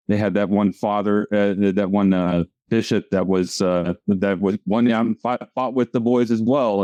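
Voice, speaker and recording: A man's voice: fairly low pitch, dark tone, clean tone, no room sound. A man, a comic strip creator, chatting casually as an interview guest, rambling and often restarting his sentences. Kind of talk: casual conversation. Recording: dull lo-fi audio.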